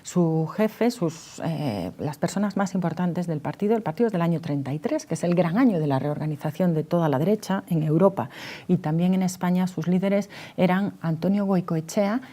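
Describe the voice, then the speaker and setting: Mellow, low-pitched Spanish woman explaining history. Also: normal speed. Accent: Spain. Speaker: guest history expert. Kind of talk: explanatory interview conversation on history.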